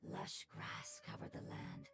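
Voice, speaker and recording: A woman playing an ancient, formal spirit in a fungus's body for a video game, speaking in measured, whispery phrases through reverb. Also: sits low, huge pitch swings, very noisy, heavily acted. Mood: solemn, sorrowful. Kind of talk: scripted video game acting. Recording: game music loud behind. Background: game music.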